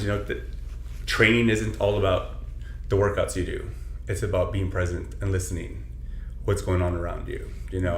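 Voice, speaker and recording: A very low-pitched man, a personal trainer from south Texas with Spanish as his first language, speaks earnestly and reflectively. He leans on "you know" often. The sound is roomy, with a faint hum of ambience behind him. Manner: earnest, filler-heavy, many "you know"s. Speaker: personal trainer, podcast guest. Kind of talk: casual reflective interview talk.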